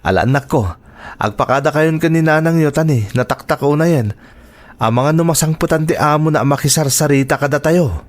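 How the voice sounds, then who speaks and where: A man with an Ilocano accent acting a gentle, devoted father in an audio drama, tender and reassuring. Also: on the high side, fairly quick, natural voice. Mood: cheerful. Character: gentle, devoted father of young children, wife working abroad.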